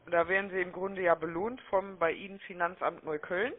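Woman cold caller on a tinny phone line, chatty persuasive sales pitch, keeps asking for agreement. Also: steady tone, brisk. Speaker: telemarketing caller. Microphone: telephone call.

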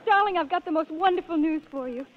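High-voiced, earnest young daughter. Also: female voice, fast, slight background noise. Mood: excited, pleading. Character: devoted, emotional young daughter, sweet and earnest. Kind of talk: 1930s radio melodrama acting. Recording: muffled old radio broadcast.

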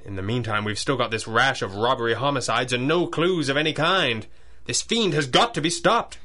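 An actor playing a stern, determined masked crime fighter in a pulp radio drama, with clipped, formal, authoritative speech. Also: male voice, medium pitch, normal speed, pushed and bright, a little hiss or hum, lightly acted. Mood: resolute. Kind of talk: 1930s-style pulp radio drama acting.

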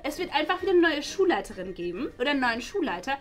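A woman's voice: high-pitched, sing-song, very fast, heavy background noise. A woman voicing a snobby, bossy schoolgirl who speaks with haughty scorn in a toy play series. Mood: scornful.

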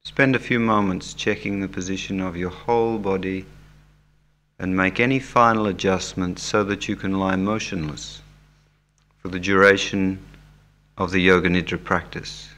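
A man leading a guided meditation as an instructor, speaking in measured, soothing short phrases with long pauses. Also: low-pitched, fairly even intonation, fairly bright tone. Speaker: yoga nidra meditation instructor. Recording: background music under the voice. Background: music.

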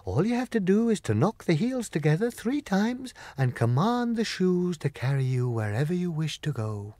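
A man reads as a good witch from a children's storybook, gracious and wise. The delivery is measured and regal, yet very varied in pitch, in a dry recording. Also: sing-song, warm tone, dry recording. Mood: gentle, instructive.

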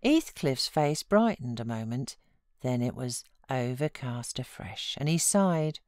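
A woman's voice: extremely low pitch, animated, unhurried, darkish tone, dry recording, clean studio sound. A British woman reading a classic story aloud as scripted audiobook narration.

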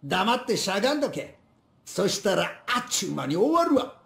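A stern old Kansai gangster robbing a bank in a game. He is curt and fast, his high voice echoing in a room. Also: very animated, gravelly, a bit bright, heavily acted. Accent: Kansai Japanese. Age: older. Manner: curt, commanding. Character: stern old male yakuza-style bank robber. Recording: roomy echo.

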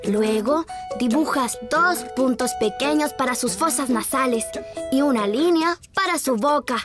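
High sweet little bunny boy, patient teacher vibe, music behind. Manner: patient, explaining step by step. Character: kind little boy rabbit who draws. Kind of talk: Spanish cartoon dub. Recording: cartoon music underneath.

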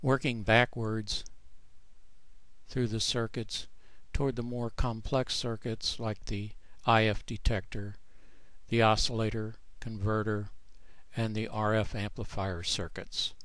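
Very slow-talking man, low-pitched narration. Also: flat delivery, a bit bright, no room sound. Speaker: author presenting his own book. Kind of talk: narrated screen tutorial.

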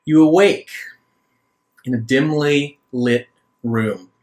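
A man working as game master, narrating a roleplaying game haltingly in short phrases with many pauses. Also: fairly high pitch, a little mellow.

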